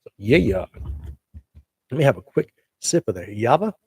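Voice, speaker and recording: A man's voice: medium pitch, very animated, moderate pace, a little mellow, husky, no room sound. A man who is an actor hosting his own show, chatting casually to viewers in a laid-back, slangy way that hypes up his news, speaking into a handheld mic held close to his face.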